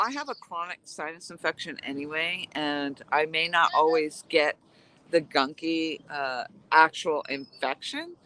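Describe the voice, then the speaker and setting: A woman's voice: fairly low pitch, sharp tone, slight background noise. A woman with a nasal voice, chatting casually and rambling as she vlogs outdoors while fishing. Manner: chatty, rambling, laughs easily. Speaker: vlogger. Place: outdoors at a lakeside camp.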